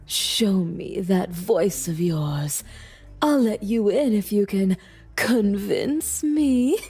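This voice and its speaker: A woman's voice: medium pitch, slow pace, mellow, husky. A woman playing a flirtatious, self-assured demon boss of a lavish city in a game cutscene, teasing and sultry. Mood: teasing, seductive. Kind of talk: voice acting for a game mod cutscene.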